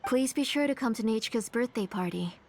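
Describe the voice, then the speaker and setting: A woman's voice: on the high side, lively intonation, brisk, a little mellow, slight background noise. An actress playing a polite but guarded, controlling mother in a video game, composed and firm. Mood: politely composed.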